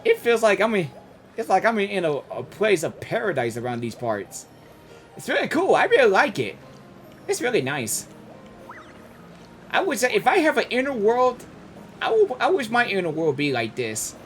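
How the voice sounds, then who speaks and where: An excitable streamer giving casual live reactions to a game, often repeating phrases. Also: mid-range voice, moderate pace, pauses often, soft background music. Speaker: gaming streamer.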